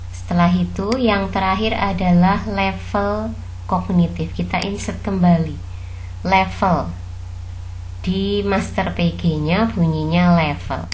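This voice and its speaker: Javanese indonesian lady doing a screen tutorial, takes it slow step by step, bit of noise behind her. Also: mid-range voice, darkish tone, poor audio. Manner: instructional, repeats each step, addresses viewers politely. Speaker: software tutorial instructor.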